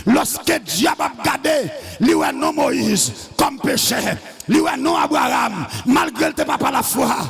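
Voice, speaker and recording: Fiery, high-pitched gravelly preacher. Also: male voice, animated, slow, few pauses, in a large hall, soft background music. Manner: shouting, half-singing cadence. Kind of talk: fiery sermon.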